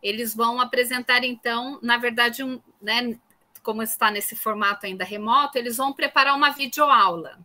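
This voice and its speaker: A woman's voice: a little high, steady tone, normal speed, bright, forceful tone. A woman lecturer introducing a guest teacher on a video call, filling her sentences with constant "né" tags. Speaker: university lecturer hosting a guest. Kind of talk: online class conversation.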